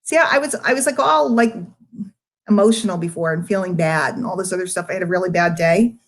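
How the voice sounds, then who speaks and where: A woman's voice: on the low side, fast. A middle-aged woman speaking casually to her online followers as a marketing coach, candid and rambling, with emphatic points made straight to the listener. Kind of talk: casual live-stream chat to followers.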